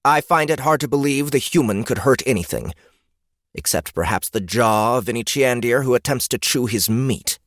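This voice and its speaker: A man voicing a female beast-folk elder in a fantasy audiobook, haughty and erudite, with clipped, precise diction and a deliberate manner. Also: medium pitch, animated, no echo, studio quality, pitched above the performer's own voice, natural voice. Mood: disdainful. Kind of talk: fantasy audiobook character acting.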